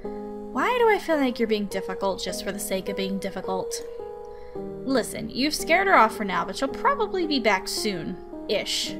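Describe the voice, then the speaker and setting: A woman's voice: mid-range voice, big pitch swings, normal speed, close to an everyday voice. A woman voicing an exasperated, dutiful guardian in a visual novel, dry and scolding. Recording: music behind the voice.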